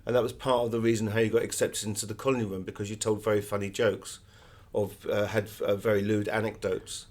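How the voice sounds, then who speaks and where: Low-voiced man, discursive interview guest. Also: level tone, fast talker, hardly any pauses, a little mellow. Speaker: interview guest, author and artist. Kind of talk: conversational interview, telling anecdotes.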